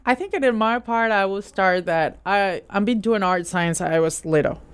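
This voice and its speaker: A woman's voice: mid-range voice, normal speed, clear, resonant tone. A woman from Venezuela, a guest on an interview who talks hesitantly and often restarts her sentences. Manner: hesitant, searching for words, many restarts. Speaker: guest, nonprofit art director. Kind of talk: casual interview talk.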